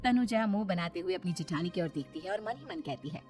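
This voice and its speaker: A woman's voice: fairly low pitch, animated, quick pace, darkish tone. A woman reading scripted narration for a Hindi cartoon family story. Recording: music behind the voice. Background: background music.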